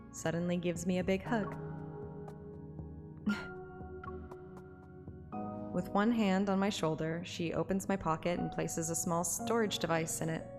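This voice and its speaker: A woman streaming a game, reading its story aloud like narration and chatting casually about it. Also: lowish voice. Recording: game music under the voice. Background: game soundtrack music.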